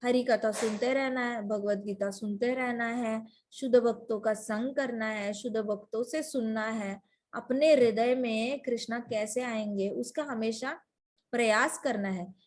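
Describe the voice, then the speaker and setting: A woman's voice: on the high side, fairly even intonation, unhurried, slight background noise. A woman giving a devotional talk. She mixes English words into her Hindi and keeps asking her listeners rhetorical questions. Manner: emphatic, full of rhetorical questions. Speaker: devotional storyteller.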